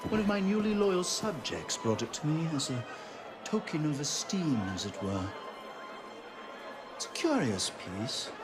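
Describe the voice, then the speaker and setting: A man's voice: on the high side, sing-song, measured pace. An actor playing a sly, theatrical thief king who drawls and mocks, in a game cutscene. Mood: sardonic. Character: sly, theatrical middle-aged man, king of thieves. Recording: music underneath.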